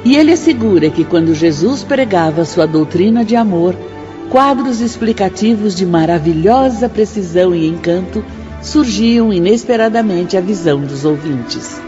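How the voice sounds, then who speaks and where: An actress with a Brazilian accent playing a devout, solemn spirit sister in a radio drama, with measured, fervent declamation. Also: female voice, medium pitch, lively intonation, close to an everyday voice. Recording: dull radio drama mix under loud music. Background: music.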